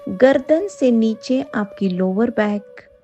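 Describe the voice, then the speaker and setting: A woman with an Indian accent leading a guided yoga nidra meditation in a soothing, unhurried manner, leaving long pauses between phrases. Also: on the high side, lively intonation, mellow, lo-fi sound, faint music behind.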